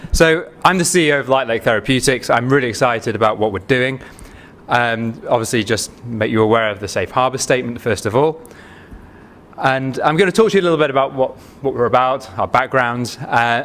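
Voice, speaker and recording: A man with a Manchester accent, a company chief giving a chatty investor pitch full of ums and restarts. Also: fairly bright tone. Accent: Manchester English. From England. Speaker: company CEO presenting to investors. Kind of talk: conference pitch presentation. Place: conference room.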